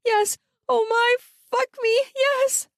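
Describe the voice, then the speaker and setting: A woman narrator voicing an eager, bold female lover in an audiobook, breathy, gasping and pleading. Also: steady tone, slow pace, no echo, studio quality, full character voice. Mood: moaning, ecstatic. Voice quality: high, breathy moaning.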